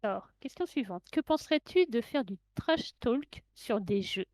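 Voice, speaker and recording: A woman's voice: on the high side, animated, moderate pace, darkish tone, no echo. A woman with a French accent, co-hosting a casual stream, laughing and protesting teasing. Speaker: co-host. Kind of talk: casual live stream chat.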